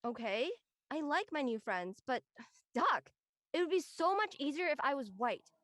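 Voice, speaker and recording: Woman acting young female student, highish voice, dry sound, earnest, exasperated, protective, audio drama. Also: lively intonation, measured pace, a bit bright, no room sound. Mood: pained. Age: young adult. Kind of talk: acted audio drama dialogue.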